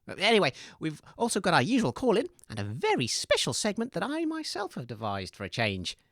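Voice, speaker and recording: A woman's voice: fairly low pitch, wildly swooping pitch, fairly quick, a bit bright, no echo, clean studio sound, full character voice. A woman playing an earnest, fussy, easily exasperated radio co-host in scripted comedy, hesitant and reproachful. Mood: cheerfully flustered.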